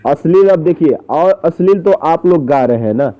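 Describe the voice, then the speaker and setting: A man's voice: on the high side, lively intonation, moderate pace. A man, questioning someone insistently and repeating his phrases in a recorded phone interview. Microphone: phone call. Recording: muffled, dull phone call recording.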